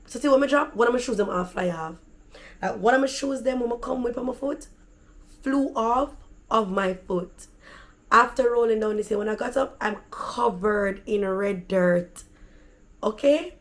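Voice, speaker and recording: A woman with a Jamaican accent and a deep, raspy voice, telling stories in a chatty, animated vlog. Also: moderate pace. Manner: animated, chatty, slips into patois. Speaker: vlogger. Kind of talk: casual storytelling.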